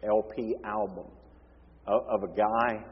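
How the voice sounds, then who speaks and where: Hoarse preacher man in a reverberant hall. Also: lowish voice, fairly even intonation, measured pace. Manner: impassioned, swinging between forceful emphasis and hushed tones. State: hoarse, losing his voice. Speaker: pastor. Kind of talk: sermon. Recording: reverberant hall, dull lo-fi sound.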